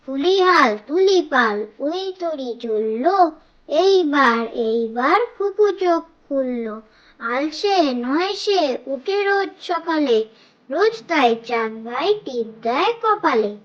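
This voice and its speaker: A performer voicing a young child who leads cartoon nursery rhymes in a measured, repetitive sing-song. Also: high voice, expressive, fairly bright tone, lo-fi sound, exaggerated voice.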